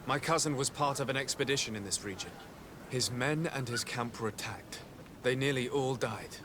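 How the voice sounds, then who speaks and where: A man's voice: medium pitch, moderate pace, noisy background, natural voice. An actor playing a formal, determined young nobleman and envoy in a video game cutscene, speaking with probing authority. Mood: earnest, resolute.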